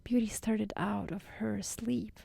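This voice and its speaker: Woman storyteller, soft-spoken ASMR, breathy near-whisper, mellow, dry close sound, gentle. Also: medium pitch, steady tone, takes it slowly, no room sound.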